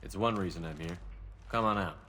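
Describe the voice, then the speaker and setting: An actor playing a cool, terse, controlled soldier hero in a sci-fi video game. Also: male voice, low-pitched, brisk, bright, forceful tone, a little hiss or hum. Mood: calm, businesslike.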